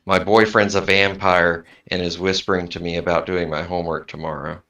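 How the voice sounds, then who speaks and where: A man chatting casually on a stream, talkative and quick to laugh. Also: low-pitched, flat delivery, bright, forceful tone, lo-fi sound. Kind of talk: casual chat.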